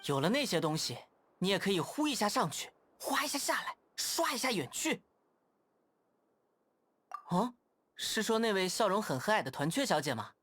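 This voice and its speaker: A playful young scout, lively. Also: male voice, high voice, moderate pace, pauses often, a little hiss or hum. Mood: friendly. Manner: friendly, playful, animated. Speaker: game character. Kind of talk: game voice lines.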